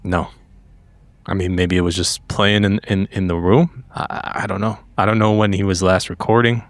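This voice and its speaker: A man in character as a weary, duty-bound agent in his thirties, earnest and halting, in a horror roleplay. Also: deep voice, level tone, no echo, close to an everyday voice. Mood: shaken, frustrated. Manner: earnest, halting, swears under pressure.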